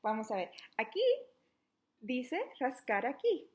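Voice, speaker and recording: A young adult woman reading a children's book aloud interactively, in an animated, playful, questioning manner. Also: fairly high pitch, slow pace. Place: small living room at home, indoors.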